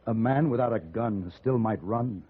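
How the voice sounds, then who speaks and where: American gunfighter hero, calm, wry, old radio Western, tinny recording. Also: male voice, fairly low pitch, normal speed, slightly rough. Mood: firm, terse. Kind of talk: 1950s radio drama acting and narration.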